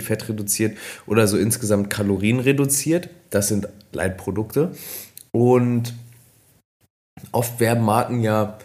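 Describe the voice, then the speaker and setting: Man explaining nutrition, slightly hoarse. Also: on the low side. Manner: relaxed, explains with many fillers and restarts. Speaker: nutrition expert, podcast co-host. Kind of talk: casual explanatory podcast chat.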